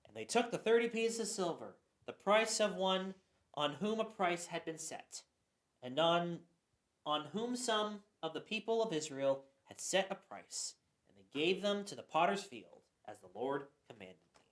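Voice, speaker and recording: A woman giving a dramatic Bible reading, expressive, with occasional stumbles. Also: on the low side, measured pace. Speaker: scripture reader. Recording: roomy echo.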